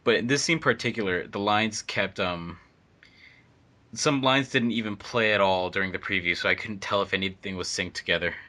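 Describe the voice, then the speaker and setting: A man's voice: lowish voice, a little fast, bright, forceful tone. A man chatting on a fan commentary track, rambling with many fillers. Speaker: fandub editor and voice actor. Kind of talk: casual commentary chat.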